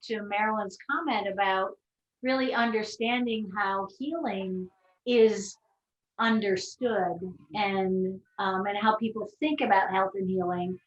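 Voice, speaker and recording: A woman's voice: medium pitch, a little slow. A woman moderating a panel conversationally, affirming and summing up what others say. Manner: affirming, summarising others' points. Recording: echoey, dull lo-fi call audio.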